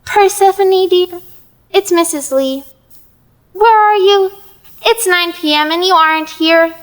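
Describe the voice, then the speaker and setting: A woman's voice: extremely high pitch, takes it slowly. A woman playing a fretful, theatrical older lady who scolds and implores in an audio play. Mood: anxious, exasperated. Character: fretful, melodramatic older Mrs. Lee, pleading with gods.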